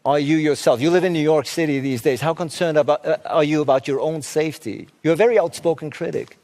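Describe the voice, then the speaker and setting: A man moderating a stage interview, asking measured questions with frequent fillers. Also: a little high, darkish tone. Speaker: forum moderator.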